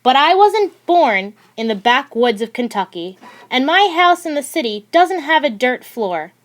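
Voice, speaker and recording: Slow-paced woman reading a children's storybook. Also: high-pitched, sing-song. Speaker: audiobook reader. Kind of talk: scripted children's picture book narration read aloud.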